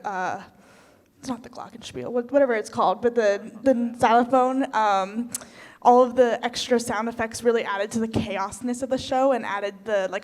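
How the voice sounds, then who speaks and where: A woman giving casual, rambling feedback to performers, often saying "like". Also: a little high. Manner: rambling, frequent "like". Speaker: festival adjudicator, stage manager.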